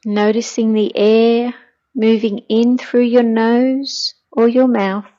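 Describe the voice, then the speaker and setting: A middle-aged woman leading a guided meditation in a gentle, soothing, hushed manner that turns breathy at times. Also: a little high, flat delivery, slow, highs cut off.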